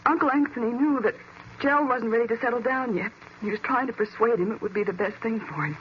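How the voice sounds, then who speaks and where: A woman playing a hesitant, trusting young niece with an American accent, halting and fragile in 1940s radio acting style. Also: a little high, natural voice. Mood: hesitant, upset. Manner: halting, faltering. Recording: muffled, hissy old radio recording.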